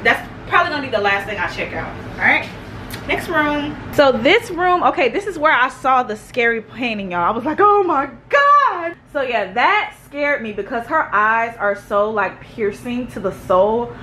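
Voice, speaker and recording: A young woman giving a casual house tour vlog, chatting in an animated, slangy, gushing way. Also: a little high, moderate pace. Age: young adult. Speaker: vlogger. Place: indoors, rooms of a house. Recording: echoey rooms with clear background noise.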